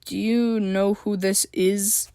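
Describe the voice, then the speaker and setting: A woman voicing a curious, easygoing young man who speaks slowly and quietly. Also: medium pitch, little pitch movement, smooth, no room sound, clean studio sound.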